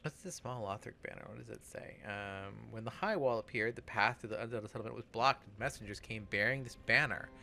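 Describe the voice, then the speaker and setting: A man's voice: fairly low pitch, fairly quick, vocal fry, few pauses, bright, forceful tone. A man hosting a let's play, giving casual gaming commentary.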